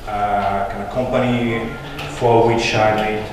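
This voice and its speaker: Halting man with a Russian native tongue, echoey hall. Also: on the low side, level tone, music in the background. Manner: hesitant, many fillers and restarts. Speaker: conference speaker. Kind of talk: tech conference talk. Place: large conference room. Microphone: handheld mic, far camera. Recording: echoey hall recorded from far off, noisy.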